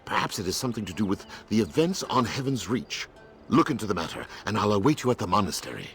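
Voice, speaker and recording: Game mentor: lowish creaky voice, courteous and earnest guardian with formal phrasing. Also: male voice, very animated, quick pace, over music. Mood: grave, earnest. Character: kindly, formal male martial arts elder and guardian. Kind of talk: video game voice acting.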